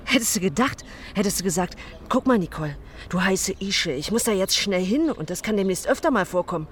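A woman's voice: mid-range voice, lively intonation, a little fast, natural voice. An actress playing a blunt, slangy young woman who is hurt and cutting toward her boyfriend in a naturalistic radio drama. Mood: angry, scornful. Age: young adult.

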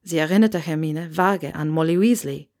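A woman's voice: low voice, moderate pace, a little mellow. A woman reading scripted fiction aloud as an audiobook narrator.

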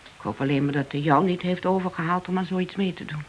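Man acting a policeman's spouse, higher voice, probing, frank, domestic radio drama, faint hiss. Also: fairly even intonation, fast talker, lo-fi sound, natural voice. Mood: worried, probing. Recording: hissy old radio play recording.

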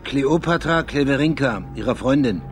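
An actor playing a persistent, businesslike police detective in a German radio crime drama, putting clipped, probing questions. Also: male voice, mid-range voice, expressive, moderate pace, soft background music, lightly acted. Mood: insistent.

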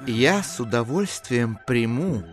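Smooth polite schemer gentleman, medium pitch, very animated, careful and flattering, audio play. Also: takes it slowly, soft background music. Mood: ingratiating. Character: courteous, smooth-talking, calculating gentleman visitor. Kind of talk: theatrical audio drama acting.

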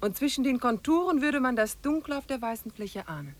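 A woman's voice: high voice, lively intonation, brisk. An actress in a 1950s radio drama playing a pensive, poetic woman on a wilderness trek. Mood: lyrical.

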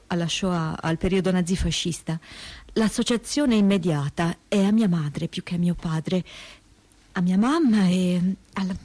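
A woman acting a troubled, reflective daughter of a Holocaust survivor in a staged testimony reading, with searching, halting phrasing. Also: medium pitch, mellow, natural voice. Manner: hesitant, searching phrasing with pauses and repetitions. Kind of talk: dramatic reading of spoken testimony.

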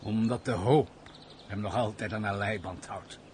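An actor playing a world-weary, reclusive gentleman master in a radio play, curt and commanding in manner. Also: fairly low pitch, steady tone, normal speed, lightly acted. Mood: bitter. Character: brooding, reclusive misanthropic gentleman master with a hidden kindness. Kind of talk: theatrical Dutch radio play acting. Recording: faint background noise, old radio play.

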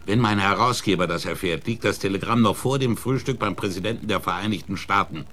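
Actor as brash, deep-voiced, cynical reporter. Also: male voice, brisk, fairly bright tone, a little hiss or hum, lightly acted. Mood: defiant, scornful. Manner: scornful, defiant. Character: brash, cynical male newspaper reporter in the Wild West. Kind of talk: German radio play acting.

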